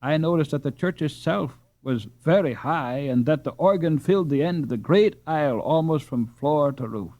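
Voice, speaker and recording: A man's voice: fairly high pitch, normal speed, hardly any pauses, darkish tone, no room sound, close to an everyday voice. A man voicing an enthusiastic, chatty English boy in an audiobook. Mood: eager.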